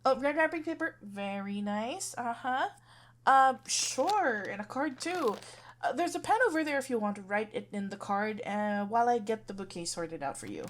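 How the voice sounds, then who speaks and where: A woman's voice: on the high side, animated, moderate pace, lightly acted. A woman playing a sweet, flustered florist best friend in an ASMR roleplay, playful and awkwardly hesitant. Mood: polite, busy. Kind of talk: ASMR roleplay acting, one-sided dialogue.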